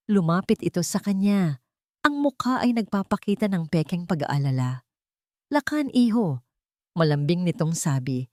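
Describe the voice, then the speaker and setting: A woman narrating a scripted drama in short, measured, emotive phrases. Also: low voice, darkish tone, no room sound, studio quality.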